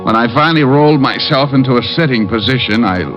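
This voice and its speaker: An actor playing a wisecracking private eye who narrates and quips in a dry, sardonic way, in 1950s radio drama style. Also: male voice, medium pitch, quick pace, close to an everyday voice. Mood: narrating. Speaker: narrator and lead. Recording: dull lo-fi old radio broadcast with faint music.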